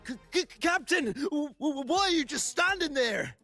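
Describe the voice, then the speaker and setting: A small, simple-minded game creature henchman, squeaking in a very high register. It stammers on the first sounds of words and talks in broken phrases, with music behind. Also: very animated, moderate pace, sharp tone, full character voice. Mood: nervous, alarmed. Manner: stuttering, broken speech. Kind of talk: video game creature acting.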